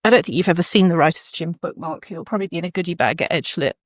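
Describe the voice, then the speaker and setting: A woman's voice: fairly low pitch, animated, racing, no room sound, studio quality. A woman hosting a conversational interview, speaking in a reflective, encouraging way. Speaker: podcast host, writing coach.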